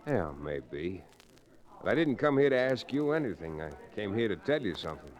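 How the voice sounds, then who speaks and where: An actor playing a folksy, firm frontier lawyer hero in an old-time radio western. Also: male voice, on the low side, sing-song, moderate pace. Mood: cool, defiant. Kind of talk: old-time radio western acting, first-person narration and dialogue. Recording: vintage radio drama recording.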